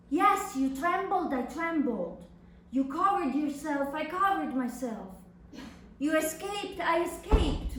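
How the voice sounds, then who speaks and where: A woman performing a dramatic monologue as an anguished young adult daughter addressing her mother, with impassioned, theatrical delivery. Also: high-pitched. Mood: agitated, accusing. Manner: impassioned, deliberate, theatrical delivery with long pauses. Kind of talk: dramatic literary monologue reading on stage. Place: reverberant indoor venue at a live reading. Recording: echoey room, distant mic, faint noise.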